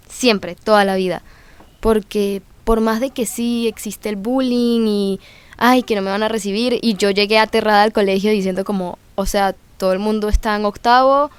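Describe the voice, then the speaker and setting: A young woman, a guest telling anecdotes in an animated way, acting out bits of dialogue. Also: mid-range voice, clean tone, no room sound. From Venezuela. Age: young adult. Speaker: guest, student. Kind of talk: lively anecdotal chat.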